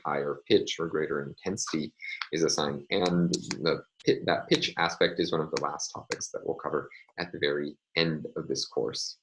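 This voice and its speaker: Man, very low voice, lecturer, measured, frequent ums and uhs. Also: low-quality recording. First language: English. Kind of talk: recorded online lecture.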